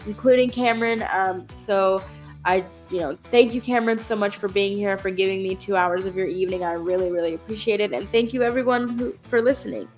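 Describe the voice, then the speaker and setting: A woman's voice: medium pitch, fairly even intonation, a little fast, faint music behind. A woman hosting a casual film podcast, talking in an easy chat with many fillers, her voice nasal from a cold. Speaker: podcast host.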